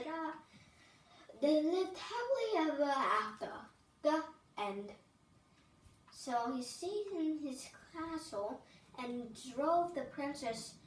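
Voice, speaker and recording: Slow, high-pitched child reading aloud. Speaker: storybook reader for a channel. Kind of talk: reading a picture book aloud with asides. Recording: distant mic, roomy echo, faint noise.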